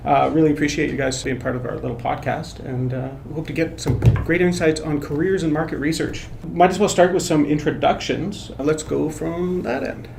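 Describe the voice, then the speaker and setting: Upbeat host with a gravelly voice. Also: male voice, a little high, fairly quick, hardly any pauses, roomy sound, noisy background. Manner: upbeat, encouraging, says "right on". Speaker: podcast host. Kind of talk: casual interview chat. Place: office meeting room.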